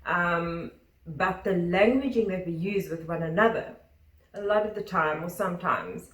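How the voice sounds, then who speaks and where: Low-voiced woman, echoey room. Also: lively intonation, fairly bright tone. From South Africa. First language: Afrikaans. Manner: hesitant, frequent "um" pauses. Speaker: co-host. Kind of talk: casual chat. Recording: roomy sound, distant mic.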